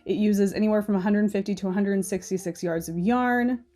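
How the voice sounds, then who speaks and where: Woman knitting host, stuffy-nosed and nasal, chatty, opinionated, mellow tone. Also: mid-range voice, fairly even intonation, brisk, faint music behind. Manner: chatty and opinionated, often asking "okay?". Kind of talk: casual screen-share commentary.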